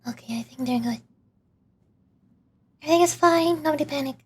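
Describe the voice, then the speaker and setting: A woman's voice: high voice, a little fast. A woman streamer chatting casually during a gaming livestream.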